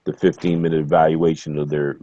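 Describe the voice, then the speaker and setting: A man's voice: rumbling low, flat delivery, brisk, warm tone, a little hiss or hum. A man who has joined a webinar call, talking in a rambling, hesitant way with lots of fillers. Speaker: webinar participant. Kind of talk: casual call-in chat. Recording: dry, dull online call audio.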